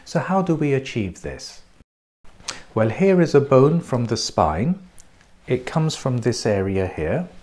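Slow, lively-toned man explaining. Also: medium pitch. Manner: measured, explanatory, pointing things out. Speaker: clinic practitioner presenting treatment. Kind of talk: scripted promotional presentation. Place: bright clinic treatment room, indoors. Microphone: lapel mic.

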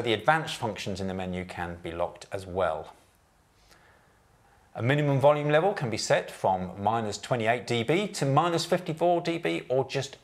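A man in his thirties giving a methodical, scripted walkthrough of a product's menu settings, recorded in an office meeting room on a lapel mic. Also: mid-range voice. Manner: measured, methodical, technical explanations. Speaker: product presenter.

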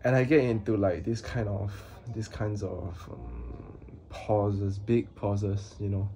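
A young man talking to his camera in a small room, with a halting, hesitant manner, flat monotone delivery, and many pauses and fillers. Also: lowish voice, slight background noise. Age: young adult. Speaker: vlogger. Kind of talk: casual talk to camera.

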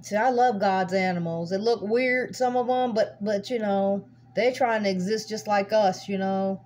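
A woman's voice: mid-range voice, steady tone, normal speed, darkish tone. A woman narrating a home video in casual, folksy, rambling chat, often repeating her words. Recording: humming noisy amateur recording.

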